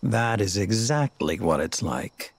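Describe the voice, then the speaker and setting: A smug cloaked game villain, drawling slowly in a low voice. His delivery is theatrical and condescending, swinging through wide intonation over music. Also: expressive, vocal fry, slightly rough, no echo, heavily acted. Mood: smug, calm. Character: smug, coolly menacing male villain in a dark cloak. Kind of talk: scripted video game cutscene acting.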